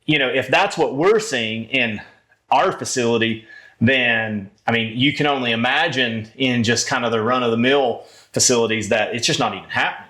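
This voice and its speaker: A man's voice: mid-range voice, moderate pace, bright, forceful tone. A man chatting casually as a podcast co-host. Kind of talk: casual chat.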